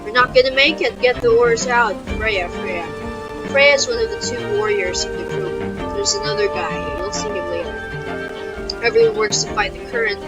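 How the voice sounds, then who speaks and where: Woman, chatty game commentary, lively up-and-down voice, loud game music underneath. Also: fairly high pitch, moderate pace, low-quality recording. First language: Filipino. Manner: chatty, talks through her choices with restarts. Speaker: gaming YouTuber. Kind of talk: let's-play commentary, reading game text aloud.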